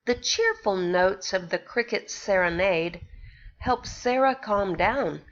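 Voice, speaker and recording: A woman reads a rhyming picture book to little children in a slow, sing-song teacher's voice. Her pitch swings widely as she stops to ask the kids questions. The recording sounds dull and lo-fi. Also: big pitch swings. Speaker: pre-K teacher. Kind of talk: reading a picture book aloud to young children.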